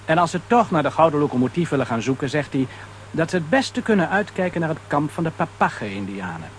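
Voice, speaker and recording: A man narrating a children's audio drama as an animated storyteller. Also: fairly high pitch, fast, slight background noise.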